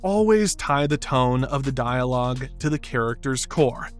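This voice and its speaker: A man's voice: medium pitch, expressive, moderate pace. A man delivering scripted narration for an analytical video essay. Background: background music.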